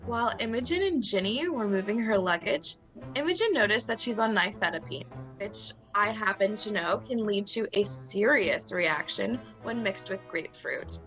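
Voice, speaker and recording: A young woman playing a blunt, scheming sorority nursing student in a dramatic reading, emphatic and matter-of-fact about dark ideas. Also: medium pitch, animated, moderate pace, faint music behind, poor audio.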